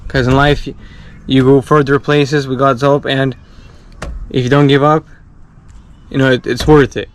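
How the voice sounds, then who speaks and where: A man vlogging while rebuilding his car, his voice raspy from feeling unwell, chatty and encouraging with halting phrasing. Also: mid-range voice, little pitch movement, slight background noise. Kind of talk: casual talk while working on a car. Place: outdoors and in a garage by the car.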